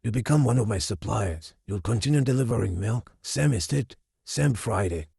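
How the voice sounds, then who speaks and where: Man acting as husky, gravelly, calculating mafia boss. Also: fairly low pitch, lively intonation, normal speed, dark tone, dry recording, clean studio sound, heavily acted. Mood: calm, calculating. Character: calculating, coldly confident male mafia boss. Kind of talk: audio drama dialogue.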